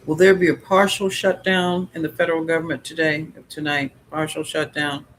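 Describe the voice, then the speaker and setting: A man's voice: a little high, flat delivery, normal speed, a bit bright, slight background noise. A middle-aged man hosting a psychic livestream, answering viewer questions in a rambling, musing way and chuckling often.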